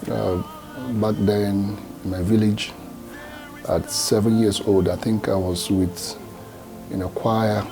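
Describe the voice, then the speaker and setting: A man's voice: on the low side, mellow. A Nigerian man, a gospel singer, answering interview questions in a reflective, hesitant way with many fillers and restarts.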